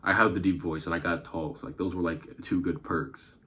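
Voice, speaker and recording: A young man giving casual, relaxed commentary on a comedy clip he is reacting to. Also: low-pitched, fairly quick. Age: young adult. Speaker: reaction video host.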